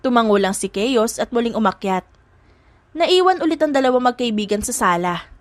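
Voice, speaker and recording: A woman's voice: fairly high pitch, lively intonation, normal speed, dry recording. A woman with a Filipino accent reading a scripted drama story as an audiobook narrator.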